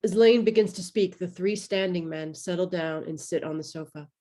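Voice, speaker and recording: Low-voiced woman narrating a script. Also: normal speed, warm tone. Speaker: narrator of a table read. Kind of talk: scripted narration of stage directions.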